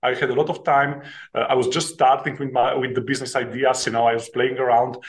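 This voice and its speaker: A middle-aged man with a Slovenian accent, answering questions as a podcast guest. He speaks at an ordinary pitch, pausing often on "uh" and restarting his sentences. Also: level tone. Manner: hesitant, many "uh" fillers, small laughs. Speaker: guest, author and investing teacher. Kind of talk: podcast interview.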